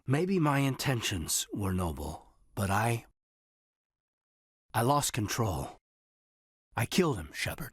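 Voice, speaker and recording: An earnest, guarded male space officer in a video game. His voice is husky and moves expressively up and down, recorded close and dry. He speaks naturalistically, with a hesitant, sincere manner. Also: medium pitch, a bit bright, no echo. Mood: somber, confessional. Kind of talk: naturalistic dramatic game dialogue.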